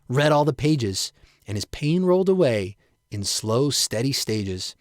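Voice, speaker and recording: A man's voice: medium pitch, no room sound, clean studio sound. A man reading his own rhyming narrative poem as a storyteller. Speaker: poet reading own work.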